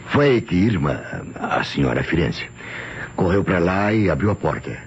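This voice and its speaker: A man's voice: low voice, sing-song. An actor with a Brazilian accent playing a polite male doctor giving careful, formal testimony in an old radio drama, on a muffled, noisy recording. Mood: earnest, explanatory. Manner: formal, explanatory, deliberate.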